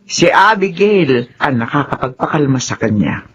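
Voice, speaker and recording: A very animated, kindly and bossy Filipino household confidante in a radio drama. She fusses and reassures chattily, in dull lo-fi audio with faint noise. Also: very deep voice, normal speed. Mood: reassuring.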